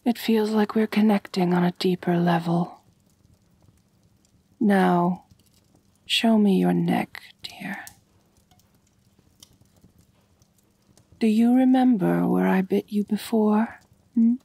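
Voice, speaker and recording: Breathy, slow vampire mistress, acted by a woman. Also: medium pitch, dry recording, full character voice. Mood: intimate, hungry. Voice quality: soft, breathy, husky. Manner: slow, coaxing, genteel, purring endearments. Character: elegant vampire mistress, doting, gently dominant, calculating noblewoman. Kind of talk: intimate ASMR roleplay. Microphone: close, dry microphone.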